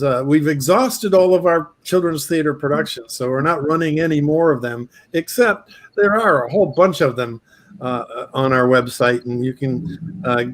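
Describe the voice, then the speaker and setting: A man hosting a casual interview over a video call, chatty and full of pauses and restarts. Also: on the high side. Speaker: talk show host.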